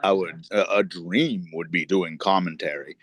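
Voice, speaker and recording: A man's voice: sits low, fairly even intonation, moderate pace, husky, dry recording. A middle-aged man, a wrestler and podcast co-host, talking at length in casual chat, swearing and building long points.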